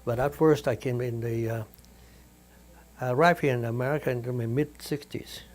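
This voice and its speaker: A man's voice: mid-range voice, a little mellow. An older man from Vietnam recalling his life in an interview. He rambles, with many fillers. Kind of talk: interview reminiscence.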